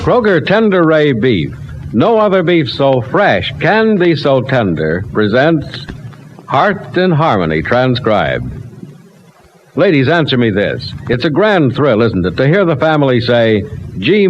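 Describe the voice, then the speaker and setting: Old-timey radio ad man, salesy and animated, muffled hissy audio. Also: medium pitch, measured pace. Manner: emphatic, salesmanlike. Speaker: commercial announcer. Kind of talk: 1940s radio ad pitch. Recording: dull, noisy old radio transcription.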